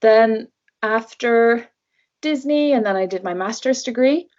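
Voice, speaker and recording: A woman's voice: on the high side, unhurried, a little mellow, lo-fi sound. A young woman from Norway, a native Norwegian speaker, answering interview questions conversationally in English as a travel blogger guest.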